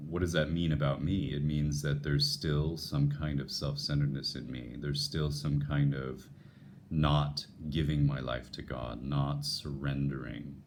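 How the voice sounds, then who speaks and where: Guy with a super deep radio voice, earnest faith vlog, lots of "like" and restarts, faint hum behind. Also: little pitch movement, measured pace. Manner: earnest, musing, with many restarts and "like". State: voice lowered by a passing illness. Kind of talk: unscripted devotional talk to viewers.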